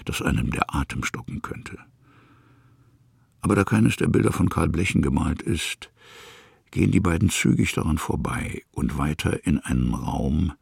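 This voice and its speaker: A man narrates a literary radio play in a very low voice. It is heavily creaky and rough with husky breath, recorded dry and close. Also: dry recording. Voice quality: gravelly, husky.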